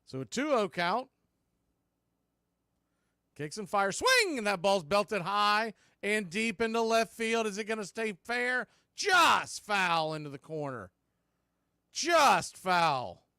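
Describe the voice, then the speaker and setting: High-pitched man calling baseball play-by-play. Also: sing-song, takes it slowly, sharp tone, smooth, no room sound, studio quality. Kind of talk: live radio-style baseball commentary.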